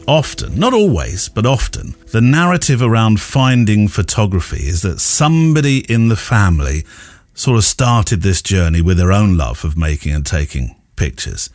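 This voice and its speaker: A man hosting a podcast in an enthusiastic, conversational way, with wordy, gently tentative phrasing. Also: fairly low pitch, no room sound. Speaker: podcast host and interviewer.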